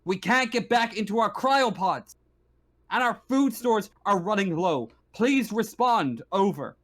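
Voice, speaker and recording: A man putting on a desperate spaceship crew member in a tabletop sci-fi game. The voice is raised well up high, delivering a formal, measured radio distress call. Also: animated, fairly bright tone, heavily acted. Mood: urgent, pleading.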